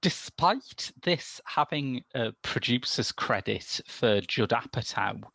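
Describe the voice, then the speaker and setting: A man's voice: mid-range voice, expressive, slow, gravelly, pushed and bright, no echo. A man in his thirties talking as a film podcast host, articulate and wry, in conversational review style on a video call. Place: small domestic room, indoors.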